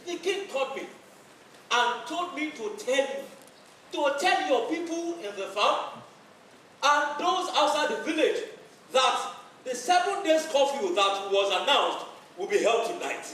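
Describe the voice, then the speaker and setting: A man's voice: very high voice, animated, unhurried, a bit bright, heavily acted. A man with a Nigerian accent playing a royal town crier, declaiming a proclamation in a stage play. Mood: solemn proclaiming. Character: royal town crier announcing a deadly curfew. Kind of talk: projected stage play acting. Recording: echoey stage hall, distant mic, faint noise.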